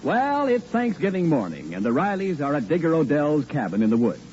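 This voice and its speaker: A male radio announcer in the 1940s style, with a highish, very animated voice and a mellow tone. His scripted delivery is folksy and emphatic, on a dull, faintly noisy old recording. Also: brisk, faint music behind.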